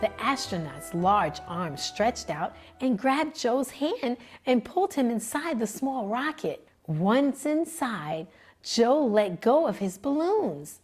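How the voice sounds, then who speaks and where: A woman librarian reading a children's book aloud, gentle and expressive in her storytelling. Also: a little high, slow pace, husky, soft background music.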